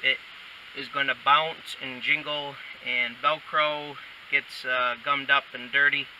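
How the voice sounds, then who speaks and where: Male DIY demonstrator, casual and unhurried, recorded off-mic in a noisy truck cab. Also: medium pitch, little pitch movement. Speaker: DIY installer demonstrating a how-to. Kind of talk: casual hands-on tutorial talk. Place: inside a pickup truck cab. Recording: distant mic, loud vehicle background noise.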